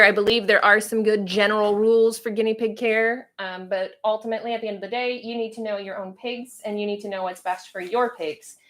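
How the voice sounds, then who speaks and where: A woman's voice: a little high, fairly bright tone. A woman hosting a livestream, chatting casually and giving practical advice. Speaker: livestream host, pet owner.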